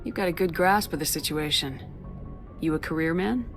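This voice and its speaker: An actress playing a resolute, composed military commander in a video game, with controlled, direct delivery. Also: female voice, sits low, moderate pace, faint music behind. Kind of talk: dramatic video game dialogue.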